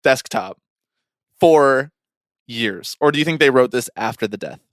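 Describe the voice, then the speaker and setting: A man's voice: medium pitch, animated, measured pace, no echo. Wry man in a dry, close recording. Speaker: podcast co-host. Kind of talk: casual podcast banter.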